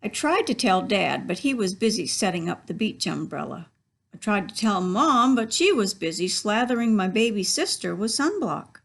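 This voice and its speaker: A woman's voice: mid-range voice, very animated, normal speed, creaky, a bit bright. A woman reading a picture book aloud to children as a library story reader, instructive and given to asides to her listeners.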